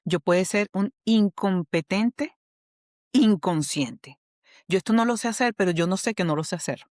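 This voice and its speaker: A woman's voice: mid-range voice, lively intonation, moderate pace, darkish tone, dry recording, clean studio sound. A woman with a Venezuelan accent, an HR specialist and coach, explaining a model as a podcast guest in a patient, teacherly way, often checking that the listener follows. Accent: Venezuelan Spanish.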